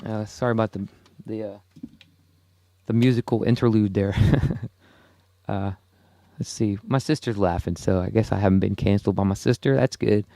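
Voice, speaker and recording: A man's voice: fairly low pitch, steady tone, moderate pace, vocal fry, long pauses, dark tone, no echo. Rambling, chuckling man in his forties. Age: 40s. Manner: rambling, self-amused, chuckles often. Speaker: podcast host. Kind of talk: casual solo monologue.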